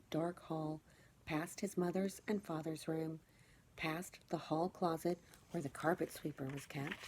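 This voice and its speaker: A woman teacher reading a children's storybook aloud, phrasing it clearly and expressively for young listeners. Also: low-pitched, darkish tone, a little hiss or hum.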